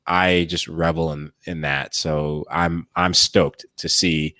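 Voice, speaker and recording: A man, a former player, co-hosting a casual sports podcast and talking in an earnest, measured way. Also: extremely low pitch, little pitch movement, pushed and bright, no echo, studio quality.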